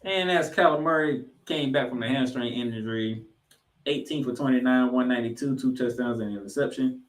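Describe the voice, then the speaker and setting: A man co-hosting a sports podcast, recapping football and reading stats with emphatic, drawn-out key figures. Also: medium pitch. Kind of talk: football recap commentary with stat read-outs. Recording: echoey, roomy sound.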